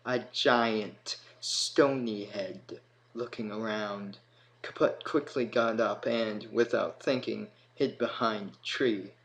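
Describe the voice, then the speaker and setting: Slow-paced male storyteller, noisy recording. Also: lowish voice, steady tone, a bit bright. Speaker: narrator. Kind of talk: scripted story narration, fantasy audio tale. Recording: clear background noise.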